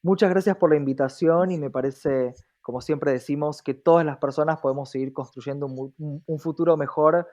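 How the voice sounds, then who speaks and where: A man's voice: fairly high pitch, a little fast, few pauses, a little mellow, highs cut off. An Argentine man speaking earnestly and reflectively as an interview guest, often checking in with short tag questions. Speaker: interview guest, diversity activist.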